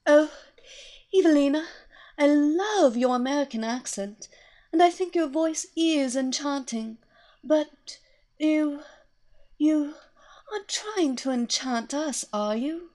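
A woman voicing a drowsy young female student, speaking in a soft, sleepy, hesitant way. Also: sits high, animated, slow, pauses often, pitched above the performer's own voice. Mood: dreamy. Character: drowsy pretty young brunette student, dreamily entranced.

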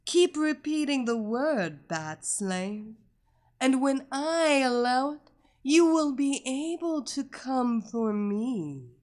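A woman voicing a domineering blonde female hypnotist who gives slow, insistent commands. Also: fairly high pitch, very animated. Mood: taunting.

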